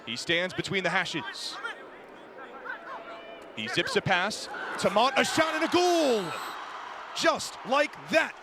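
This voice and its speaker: A man giving an energetic, descriptive live radio play-by-play commentary of a lacrosse game. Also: sits high, slow pace, pauses often. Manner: energetic, descriptive running call of the action. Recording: noisy live broadcast, game crowd behind. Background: crowd and ambient game noise.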